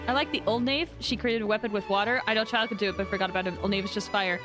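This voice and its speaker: A woman's voice: medium pitch, racing. A woman streaming a game and chatting casually with viewers in an informal, rambling way. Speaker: live streamer playing a game. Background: game music.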